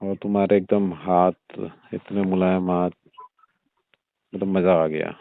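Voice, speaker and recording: Older father-in-law played by a man, lowish voice, indulgent and coaxing, conversational phone-drama delivery over a narrow phone line. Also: level tone, measured pace, no echo. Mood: fond, suggestive. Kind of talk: staged phone-call audio drama in everyday conversational speech. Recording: muffled narrow-band phone-line sound.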